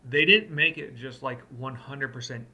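A man co-hosts a laid-back movie review chat at a middle pitch. His voice is very bright and sharp-edged. He wanders through his points with plenty of fillers. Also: normal speed. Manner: rambling, heavy on like and uh. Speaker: co-host. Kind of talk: casual chat.

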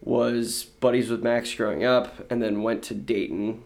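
A young man hosting a podcast, easygoing and quick to react, swearing casually. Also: medium pitch, little pitch movement, gravelly, darkish tone. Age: young adult. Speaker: podcast host. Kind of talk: casual chat.

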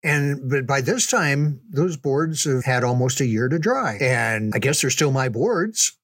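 An older man with a mid-pitched voice tells a story in a casual, folksy manner. He takes his time and pauses to stress details. The recording is dry, with very little room sound. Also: animated, a bit bright, slightly rough, no echo. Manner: unhurried, folksy, halting with pauses for emphasis, chuckling. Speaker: podcast storyteller, reminiscing father.

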